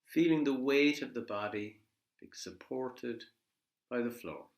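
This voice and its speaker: A man leading a guided body scan meditation in a measured, gently instructive way, leaving pauses between phrases. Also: mid-range voice. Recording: echoey room.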